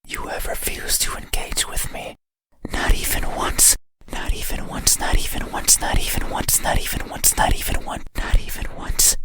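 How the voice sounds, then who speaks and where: Man acting as lonely monster, horror visual novel, ASMR whisper, very slow, halting, brooding, melancholy. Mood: bitter, accusing. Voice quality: breathy unvoiced whisper. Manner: halting, formal, brooding, slow deliberate phrasing. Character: lonely, melancholy male monster stalking a sleeper at night. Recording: whisper under loud music.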